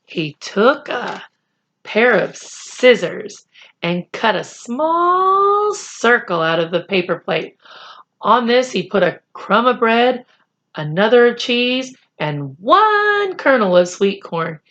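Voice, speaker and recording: A woman reading a children's chapter book aloud as a storyteller, with deliberate, expressive narration. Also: fairly high pitch. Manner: deliberate, animated.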